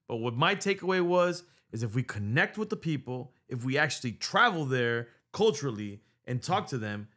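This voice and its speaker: A man's voice: medium pitch, sing-song, moderate pace, few pauses, fairly bright tone. A middle-aged man hosting a podcast, telling a long story in casual chat, swearing freely and dropping Spanish words, into a studio mic. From United States. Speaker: podcast host. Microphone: studio mic on boom arm.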